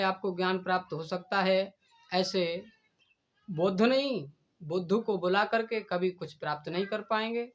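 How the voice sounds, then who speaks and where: A man delivering a stern, deliberate admonishing speech in a preachy manner. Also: sits high. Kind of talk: admonishing speech addressed to a rival. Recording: dull, lo-fi recording.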